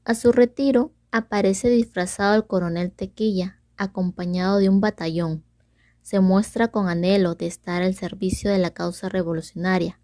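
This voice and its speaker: Young woman reading narration, mellow and dry. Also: medium pitch, fairly even intonation, normal speed, no room sound. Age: young adult. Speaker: student presenter. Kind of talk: scripted plot summary narration.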